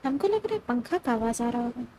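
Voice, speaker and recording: A woman's voice: on the high side, animated, rapid-fire, a little mellow, slight background noise. A woman with an Indian accent, chatting casually and reacting as she livestreams a game.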